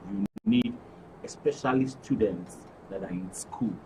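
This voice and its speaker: Man, Nigerian accent, interview guest, earnest winding explanations, animated intonation, distant mic, noticeable background noise. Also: mid-range voice, measured pace, gravelly. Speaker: studio guest, actors' guild official. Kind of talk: unscripted interview answers. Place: radio and TV studio.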